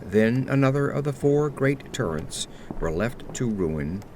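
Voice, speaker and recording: Man acting aged aristocrat, melancholy, formal literary storytelling, gothic audio drama, expressive intonation, faint atmospheric background. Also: lowish voice, slight background noise, heavily acted. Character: melancholy aged French nobleman recounting his accursed life. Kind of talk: scripted gothic horror narration.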